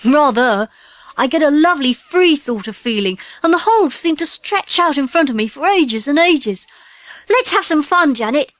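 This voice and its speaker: A woman voicing an eager, bossy schoolboy in a children's audiobook, with a brisk, boyish, emphatic delivery. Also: high voice, animated, no room sound. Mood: chummy, slightly exasperated. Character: eager, bossy schoolboy Peter and his boy pals. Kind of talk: children's audiobook character acting.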